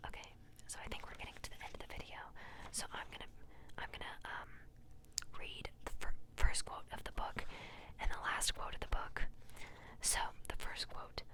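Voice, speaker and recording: A young woman whispering softly for ASMR, reading quotes from a book aloud and adding giggly, chatty asides full of fillers, close to the mic. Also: normal speed. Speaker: ASMR creator.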